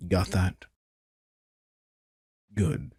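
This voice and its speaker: A man playing a cocky, possessive vampire master in an immersive roleplay audio drama, teasing and condescending, with casual swearing and a husky voice. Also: low-pitched, flat delivery, very slow, mellow, dry recording, studio quality, heavily acted. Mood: threatening, controlling. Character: cocky, possessive male vampire master who charms his prey.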